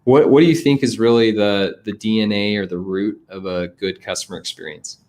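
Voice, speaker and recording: A man's voice: fairly low pitch, fairly even intonation, a little fast, a little mellow. A man in his thirties hosting a casual interview chat over a video call from an indoor room. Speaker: interviewer, host.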